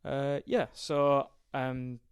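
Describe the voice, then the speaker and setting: A man's voice: medium pitch, little pitch movement, very slow, clear, resonant tone. A young man from County Durham, a podcast guest talking about his background in a hesitant way, with many ums and restarts. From County Durham, England. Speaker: strength and conditioning coach, guest. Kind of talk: casual podcast interview.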